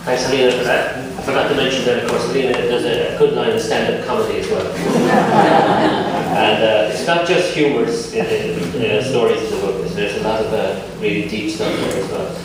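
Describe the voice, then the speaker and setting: An Irish man hosting a book launch and calling up readers, relaxed and halting with fillers. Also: mid-range voice. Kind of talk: introducing readers. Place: large echoey museum hall. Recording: echoey hall, voice from far off, loud noise.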